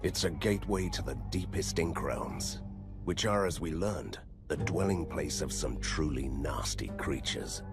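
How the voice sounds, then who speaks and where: A very deep, gravelly monster hunter. He is stern and resolute with dry, deadpan wit, a dramatic video game hero over a music bed. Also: unhurried, exaggerated voice. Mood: dry, serious.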